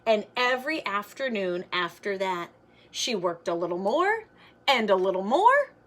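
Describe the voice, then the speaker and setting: A woman's voice: medium pitch, very animated, a little slow, a bit bright. A woman reading a children's picture book aloud like a teacher, expressively, with simple explanatory asides.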